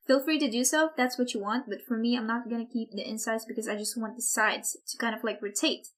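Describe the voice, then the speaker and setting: A woman teaching an online software course, talking casually with lots of "like" and "kind of", her voice a little hoarse from recording too much. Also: on the high side. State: losing her voice, a bit hoarse. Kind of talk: screen-recorded software tutorial.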